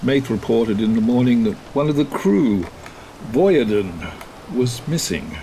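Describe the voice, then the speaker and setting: A man's voice: medium pitch, animated, unhurried, dark tone, a little hiss or hum. An actor playing a stern, weary sea captain reading his log in clipped entries for a horror radio drama. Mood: grim.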